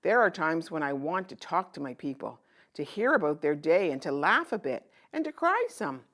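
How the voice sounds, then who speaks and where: Fatherly storybook king in merchant's disguise, read by a woman: gentle, reflective, widely varied pitch. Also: sing-song, normal speed, heavily acted. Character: kind, weary traveling king disguised as a merchant. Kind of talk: children's storybook read-aloud.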